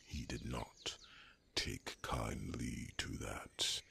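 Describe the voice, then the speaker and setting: A man playing a proud, solemn, fatherly dragon in a whispered ASMR fantasy roleplay, with a gravelly, breathy growl and grandiose delivery. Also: extremely low pitch, steady tone, bright, forceful tone, exaggerated voice. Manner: slow, solemn, grandiose storytelling.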